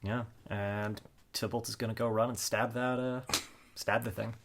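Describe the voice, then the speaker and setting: A man's voice: fairly low pitch, little pitch movement, normal speed, noisy background. A man playing a tabletop role-playing game, chatting casually and thinking aloud in a hesitant way.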